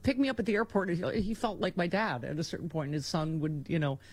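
A woman's voice: fairly low pitch, fast talker, breathy edge. Chatty middle-aged woman radio host. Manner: chatty, sarcastic, laughs often. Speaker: radio talk show host. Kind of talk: casual radio chat with callers.

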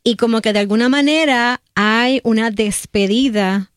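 A woman co-hosting a talk show, calmly explaining her thoughts in a reflective way. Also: fairly high pitch, moderate pace, smooth, no echo, studio quality. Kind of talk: casual talk show discussion.

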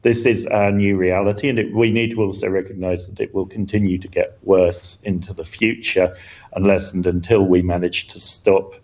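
A man's voice: sits low, little pitch movement, normal speed, darkish tone. A man speaking as an expert guest in a news interview, explaining earnestly and emphatically, often repeating words as he goes. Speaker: climate scientist, expert guest.

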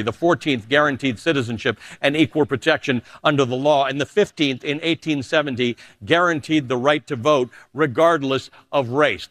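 A man's voice: a little high, fairly bright tone. A man raised in Canada, a news anchor giving an impassioned speech to a live audience, building to rousing peaks.

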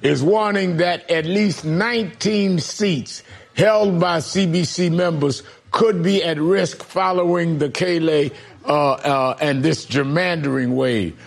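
A middle-aged or older man hosting a political interview, speaking haltingly with a gravelly, husky voice and repeated words. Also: on the high side. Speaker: political talk show host. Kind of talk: broadcast news interview.

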